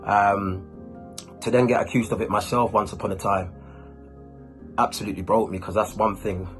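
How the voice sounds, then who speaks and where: A man with a lowish voice talks to camera in a relaxed, informal vlogging style. He is chatty and uses urban slang, with faint music playing behind him. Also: normal speed. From Ghanaian, born and raised in Germany. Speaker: YouTuber, host. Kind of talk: casual talk to camera answering questions.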